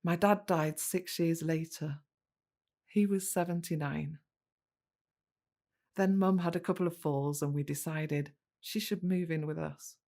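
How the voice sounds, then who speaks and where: An actress playing a wistful, caring middle-aged British daughter in a naturalistic audio drama, speaking gently and reflectively. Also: female voice, low-pitched, fairly even intonation, a little slow, long pauses. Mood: reflective narration.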